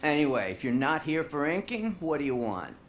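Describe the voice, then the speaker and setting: A man's voice: fairly high pitch, sing-song, a little fast, slight background noise. A man playing a cocky, evasive tattoo artist in a video game, talking in slangy, dismissive phrases. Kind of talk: video game cutscene acting.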